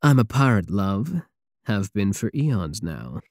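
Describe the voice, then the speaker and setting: Male actor as a cocky space pirate king, mellow, expressive pitch, teasing endearments, possessive, intimate ASMR roleplay. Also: no echo, studio quality, full character voice. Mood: amused, seductive. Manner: slow, teasing, purring endearments, sardonic.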